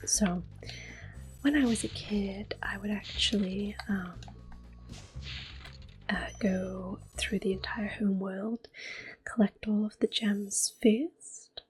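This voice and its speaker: A woman speaking softly and whispering in a gentle, unhurried, rambling way as she gives casual ASMR commentary while playing a video game. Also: medium pitch, steady tone, husky, rough recording. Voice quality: soft-spoken, whispery. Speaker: gaming video host. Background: game music and controller sounds.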